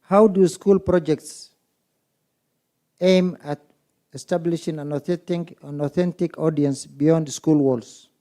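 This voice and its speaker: Mellow-voiced man quizzing a conference crowd. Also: on the high side, steady tone. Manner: poses rapid rhetorical questions to the audience. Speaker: conference moderator. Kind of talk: public speaking at a symposium. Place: conference hall.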